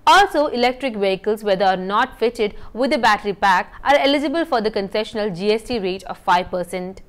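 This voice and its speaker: A young woman, reading a scripted news bulletin in a steady, measured way in a studio. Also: medium pitch. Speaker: news presenter. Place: indoor TV news studio set.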